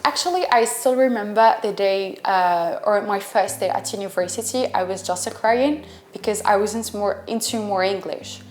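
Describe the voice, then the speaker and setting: A woman's voice: mid-range voice, moderate pace, hardly any pauses. A twenty-one-year-old woman from Algeria, speaking English as an Arabic speaker, answering interview questions hesitantly and often searching for words. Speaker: English student and teacher. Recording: echoey room with faint music.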